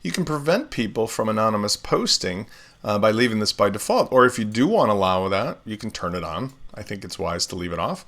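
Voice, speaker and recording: A man hosting a live software tutorial, explaining steps in a relaxed way with everyday comparisons while still getting over laryngitis. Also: fairly low pitch, fairly quick. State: recovering from laryngitis, swallowing often. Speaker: web developer tutorial host.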